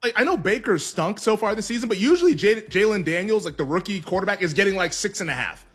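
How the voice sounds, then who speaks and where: Excitable male sports betting host. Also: high voice, breathy edge. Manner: quick, excitable, heavy on "like", laughs often. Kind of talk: casual sports betting chat.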